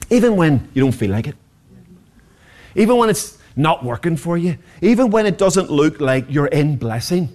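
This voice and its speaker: A man in his forties preaches in a high voice that swoops constantly. His delivery is passionate and rhetorical, with joking asides to the congregation. The pitch climbs and falls over a very wide span. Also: darkish tone. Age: 40s. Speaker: pastor. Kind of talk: sermon.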